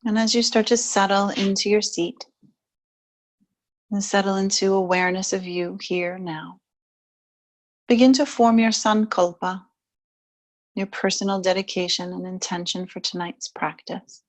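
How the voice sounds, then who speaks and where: Woman softly guiding bedtime yoga relaxation. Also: mid-range voice, fairly even intonation. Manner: soothing, unhurried, with long pauses between short phrases. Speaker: yoga nidra and stretch instructor. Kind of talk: guided relaxation and gentle yoga instruction.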